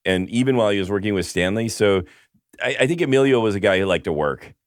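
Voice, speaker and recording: A man co-hosting a casual film podcast, rambling through a story with many restarts, his voice going hoarse. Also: fairly low pitch, lively intonation, fast talker, dry recording, clean studio sound. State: losing his voice. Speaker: podcast co-host.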